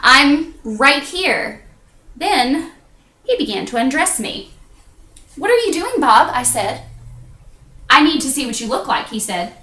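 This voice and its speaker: A woman performing a theatrical monologue as a self-conscious, candid woman confessing intimate things, with dramatic pauses and drawn-out emphasis. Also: very animated, fairly bright tone, heavily acted. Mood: flustered. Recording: echoey room on low-quality webcam.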